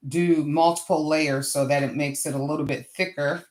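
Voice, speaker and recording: A middle-aged woman with a hoarse voice, hosting a casual crafting livestream and chatting to viewers as she works. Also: deep voice, fairly even intonation, moderate pace. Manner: chatty, greets viewers warmly and often. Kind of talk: casual live craft chat.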